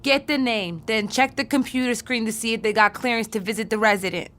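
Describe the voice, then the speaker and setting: An actress in her twenties playing an eager, naive American niece new to a front-desk job, in a naturalistic audio drama, earnest and forceful. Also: a little high, fairly quick, natural voice. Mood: questioning, earnest. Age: 20s.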